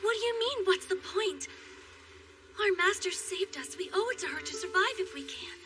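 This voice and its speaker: A woman's voice: extremely high pitch, lively intonation, moderate pace, distant mic, background noise. A woman voicing a loyal, earnest youngster, urgent and encouraging, in an anime dub. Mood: earnest, pleading.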